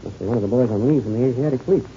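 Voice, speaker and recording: An actor playing a hearty, excitable adult pilot in an old-time radio adventure serial. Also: male voice, medium pitch, racing, close to an everyday voice. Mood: baffled, urgent. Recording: muffled, hissy old radio recording.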